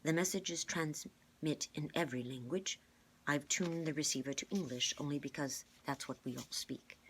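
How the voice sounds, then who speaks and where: A woman voicing a calm, clever older man in a storybook, measured and formal as he explains. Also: sits low, a little hiss or hum. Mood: patient explaining.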